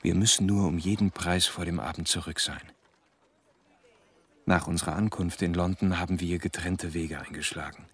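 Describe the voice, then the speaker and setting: A man playing a serious, educated diarist in a German radio drama, narrating in measured, clipped entries. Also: deep voice, steady tone, breathy edge. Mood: sober, tense. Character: sober educated man narrating his diary.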